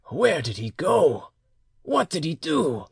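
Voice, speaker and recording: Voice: mid-range voice, wildly swooping pitch, slow, vocal fry, husky, no echo, clean studio sound, pitched above the performer's own voice, full character voice. An audiobook narrator voicing a solemn, crafty old uncle in a storybook tale. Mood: solemn, insistent.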